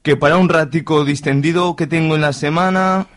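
A man's voice: a little high, steady tone, a little fast, lightly acted. An actor playing a stressed, grumbling middle-aged family man with a Spanish accent from Spain in a radio drama. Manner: grumbling, impatient. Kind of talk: amateur radio drama acting.

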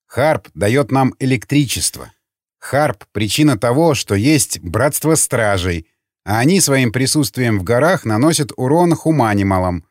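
Man acting a sardonic councilman, lively intonation. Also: medium pitch, unhurried, no echo, studio quality, lightly acted. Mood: cautioning. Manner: mocking, theatrical. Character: sardonic, needling male councilman. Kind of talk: audiobook character acting.

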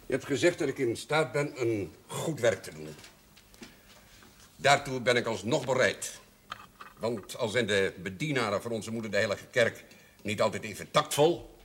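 An actor playing a haughty older notary in a television period drama, formal and condescending, on an old soundtrack. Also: male voice, fairly low pitch, fairly bright tone, slight background noise. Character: haughty, indignant older male notary, smoothly manipulative.

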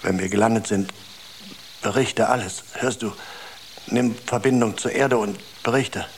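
A man playing a tormented, exhausted space traveler in a radio drama, halting and murmuring, often close to a whisper. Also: fairly low pitch, fairly even intonation, a little hiss or hum, lightly acted. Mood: exhausted, resigned. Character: tormented, exhausted male space traveler doubting his sanity.

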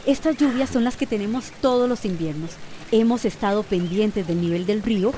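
Woman acting blunt, mellow-voiced local official. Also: mid-range voice, moderate pace, slight background noise, natural voice. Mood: skeptical. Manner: blunt, argumentative. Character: pragmatic, skeptical female local official, reluctantly loyal. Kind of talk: radio drama acting.

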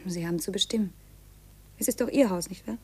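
An actress playing a delicate, reserved young widow in a 1950s radio drama, speaking softly and politely. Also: female voice, mid-range voice, fast, slightly rough. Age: young adult. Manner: soft-spoken, polite, restrained. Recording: faintly noisy 1950s radio recording.

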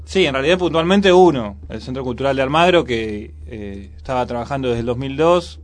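A man's voice: mid-range voice, animated, brisk. A man with a Buenos Aires accent giving informal, opinionated news commentary as a radio co-host. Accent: Buenos Aires Argentine. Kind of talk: casual commentary on local news.

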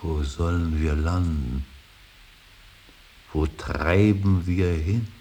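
An extremely deep-voiced older father broods over his grief in a solemn radio drama. He declaims very slowly and haltingly, weighing each phrase and often sinking into a breathy whisper. There is a slight hiss on the recording. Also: steady tone, mellow. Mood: solemn, searching. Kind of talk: German radio drama acting, solemn monologue. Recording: hissy, faintly noisy radio recording.

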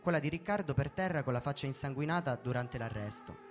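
A man reading a first-person story in a measured, theatrical way, as a dramatic narrative performance. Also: mid-range voice, animated. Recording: music behind the voice. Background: background music.

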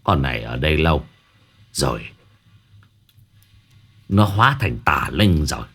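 A man's voice: very deep voice, sing-song, a little slow, vocal fry, fairly bright tone, slightly rough, voice pushed down, exaggerated voice. A man voicing a blustering male exorcist in a spoken ghost story. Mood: grave. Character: frightened greedy male sorcerer, gruff and blustering.

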